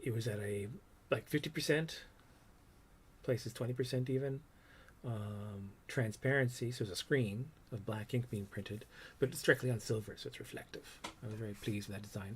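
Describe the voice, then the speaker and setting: Low-voiced man rambling through old artwork. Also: breathy edge. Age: 50s. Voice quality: low, soft voice that doesn't project. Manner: rambling, reminiscing, trailing off with ums. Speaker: comics artist showing his old work. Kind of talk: casual livestream chat.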